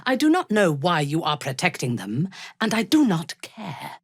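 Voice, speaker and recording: A woman playing an imperious, ruthless dark elf warrior in a video game, haughty and commanding, with a husky, breathy delivery. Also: fairly low pitch, wildly swooping pitch, no room sound, studio quality, exaggerated voice. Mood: cold, commanding. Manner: haughty, commanding, measured, deliberate.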